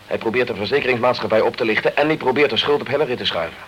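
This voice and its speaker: A man's voice: mid-range voice, rapid-fire, lo-fi sound, a little hiss or hum, natural voice. An actor playing a determined, blunt British man in a crime radio play, speaking tersely, earnestly and insistently. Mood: urgent. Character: determined, blunt British man fighting to clear an accused friend.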